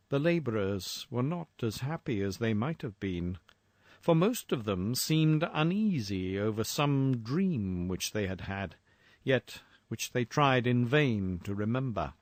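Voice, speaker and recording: Male narrator for gothic horror fiction: unhurried, literary delivery, mid-pitched, dry recording. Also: dry recording. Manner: measured, literary storytelling.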